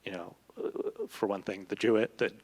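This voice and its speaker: A man's voice: lowish voice, fairly even intonation, moderate pace. A man speaking as a literary translator and guest in an on-stage conversation, thoughtful and digressive, often searching for his words. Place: large hall, public event. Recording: reverberant hall.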